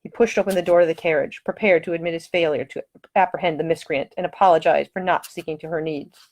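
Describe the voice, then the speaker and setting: A woman's voice: sits low, fairly even intonation, fast, a little mellow. A woman reading her own novel aloud as scripted audiobook narration.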